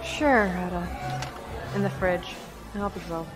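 Woman as a slow-speaking weary young academic. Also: lowish voice, soft background music, low-quality recording. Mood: weary, friendly. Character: dedicated, weary young female university teaching assistant. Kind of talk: audio drama acting.

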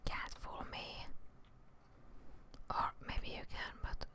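A young woman whispering breathy, hushed ASMR commentary over a video game, close to the microphone. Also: slow. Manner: hushed, murmuring short reactions to the game. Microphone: close studio microphone with headset on.

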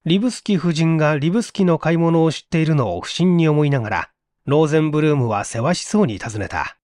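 A man's voice: a little high, expressive, fast talker, a little mellow, no echo, clean studio sound. A man reading a story as an audiobook narrator in a dramatic, scripted style.

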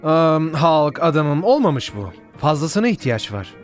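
A man's voice: fairly high pitch, very animated, a little slow, soft background music. A man playing a harried, glib office boss who placates people, in a cartoon parody dub. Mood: dismissive.

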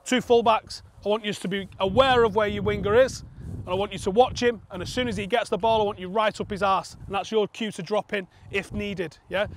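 A man, a football coach giving a blunt, sweary pre-match team talk outdoors on a pitch. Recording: outdoor pitch with faint background noise.

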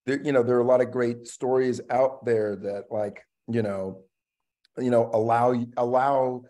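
A middle-aged man, an actor, talking casually as a panel guest on a video call in a reflective, halting way, often repeating words. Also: mid-range voice, steady tone, warm tone. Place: room at home, on a video call.

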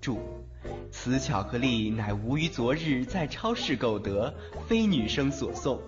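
A man's voice: medium pitch, expressive, measured pace, highs cut off, lightly acted. A man acting in an audio drama as a brash but doting, teasing teenage schoolboy. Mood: playful teasing. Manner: teasing, affectionate. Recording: band-limited, background music throughout.

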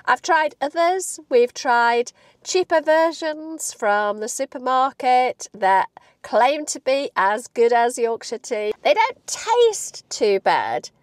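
A woman in her late fifties talks to her viewers from the driver's seat of a parked car, her voice croaky from a cold. She is chatty and animated and laughs often, with a slightly high pitch. The sound is close and dry, picked up by a lapel mic. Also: no echo. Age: 50s. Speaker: vlogger. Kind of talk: casual talk to camera.

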